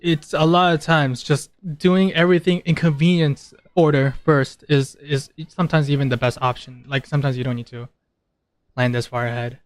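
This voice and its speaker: A man's voice: a little high, steady tone, moderate pace, no echo. A man giving casual, rambling commentary as he streams a game, in an offhand way. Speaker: gameplay streamer.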